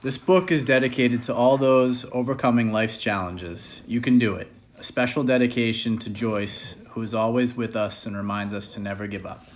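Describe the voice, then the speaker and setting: Man, mid-pitched voice, children's picture book read-aloud, storyteller. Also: steady tone, moderate pace, hardly any pauses, a little hiss or hum. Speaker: author reading his picture book.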